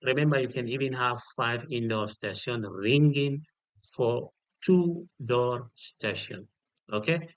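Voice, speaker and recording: A man giving an unscripted step-by-step software tutorial, repeating key details and often checking in with a short okay. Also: mid-range voice, slow, no room sound. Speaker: tutorial instructor.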